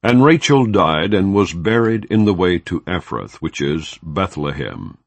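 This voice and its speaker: A very deep male voice reads scripture in a slow, solemn, measured way. His pitch swings widely, rising high and dropping low from phrase to phrase. The sound is dry and close, with no room around it. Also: big pitch swings, no room sound. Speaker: scripture reader.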